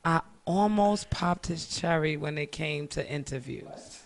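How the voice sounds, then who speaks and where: Woman interview host, gravelly voice, playful, chatty, lively. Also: sits low, a little slow. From New York, Queens. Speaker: show host. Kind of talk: casual interview chat.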